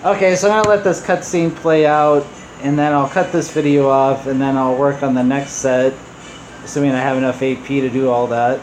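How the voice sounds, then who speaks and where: A man's voice: mid-range voice, flat delivery, brisk, a little mellow, a little hiss or hum, poor audio. A man giving casual, off-the-cuff gameplay commentary as host of a gaming video, offhand and a little apologetic. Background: game music.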